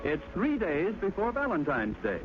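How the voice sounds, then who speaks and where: A man's voice: high-pitched, wildly swooping pitch, normal speed. A man announcing a comedy in old-time radio style, with a lo-fi sound. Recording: dull lo-fi sound, loud music.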